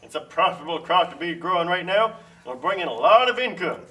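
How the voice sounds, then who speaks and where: A man's voice: high voice, lively intonation, a little fast, bright, forceful tone, recorded from a distance. A man playing a pushy, confident free-trade adviser in an amateur puppet show, declaiming like a salesman.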